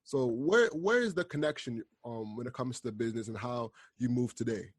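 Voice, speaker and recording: A man from Canada hosting a podcast, asking questions in a relaxed, soft-spoken way with fillers. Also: mid-range voice, fairly quick, slightly rough, dry recording. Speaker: podcast host. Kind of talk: casual podcast interview.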